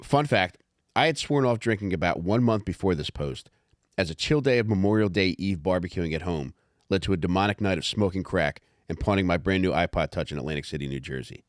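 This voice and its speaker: A man narrating a comic memoir audiobook in a wry, measured, profane way. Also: low voice, dry recording, studio quality.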